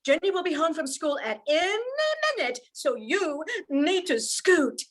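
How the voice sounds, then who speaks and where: Woman acting a high, expressive young mother. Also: unhurried. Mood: hopeful. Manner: theatrical, heartfelt delivery. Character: emotional young widowed mother, polite and earnest. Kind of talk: amateur radio play acting.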